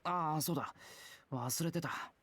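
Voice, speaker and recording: A woman's voice: sits low, normal speed, a bit bright, husky. A woman voicing an earnest, polite, slightly hesitant teenage boy in a Japanese anime-style game. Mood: thoughtful. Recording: game audio with music behind. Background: music.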